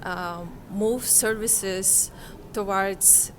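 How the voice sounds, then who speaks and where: A woman speaks slowly in an interview. She is reflective and hesitant, pausing to find her words. A faint noise of the room sits behind her. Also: mid-range voice, steady tone. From Kazakhstan, Central Asia. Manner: hesitant, halting, searching for words. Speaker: interviewee, conference participant.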